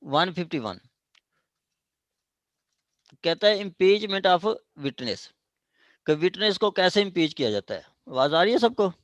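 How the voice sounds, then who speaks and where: A man with a Pakistani accent giving an online law lecture, explaining haltingly with repetition and switching into English legal terms. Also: high-pitched, very animated, no echo, highs cut off. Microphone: online class call.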